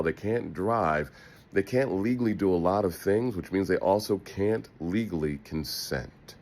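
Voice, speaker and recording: An American man delivering an opinion monologue as a host, in a sardonic, emphatic, profane manner with rhetorical asides. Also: sits low. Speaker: political podcast host.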